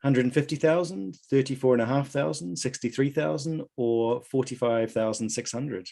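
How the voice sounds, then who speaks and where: A man hosting a live online quiz, reading out questions and answer options in a measured way. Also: mid-range voice, gravelly, warm tone. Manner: reads options aloud in a measured list. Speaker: quiz host at an online conference.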